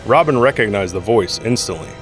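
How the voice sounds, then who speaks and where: A man's voice: lowish voice, animated, a little fast, faint music behind. A man narrating an audio drama from a script, telling the story in the third person.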